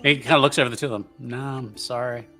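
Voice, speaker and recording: A man's voice: mid-range voice, fairly even intonation, fast, sharp tone. A man playing a tabletop roleplaying game, improvising his character casually and jokingly. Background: music.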